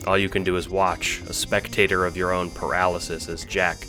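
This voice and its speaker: A man's voice: low voice, level tone, fairly quick. A man narrating an audio drama in a dramatic, scripted storytelling style, with music behind him.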